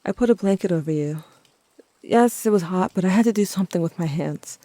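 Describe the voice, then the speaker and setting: A woman's voice: on the low side, brisk, dark tone, no room sound, natural voice. A woman playing a tender, wry, grieving teenager who narrates an audio drama in a reflective, confiding way. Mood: somber. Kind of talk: intimate first-person audio drama narration.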